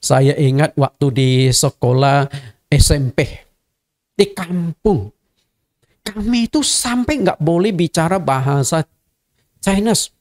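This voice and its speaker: A man's voice: on the high side, expressive, slow pace, pauses often, darkish tone. A middle-aged man from Indonesia, a native Hakka speaker, giving a conversational sermon as a pastor and speaking directly to his congregation. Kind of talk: sermon teaching.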